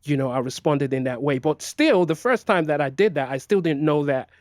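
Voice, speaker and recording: A man's voice: a little high, lively intonation, a little fast, darkish tone, no echo, clean studio sound. A middle-aged man who grew up in Nigeria, speaking as an interview guest in a hesitant way with many fillers and restarts. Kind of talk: personal interview.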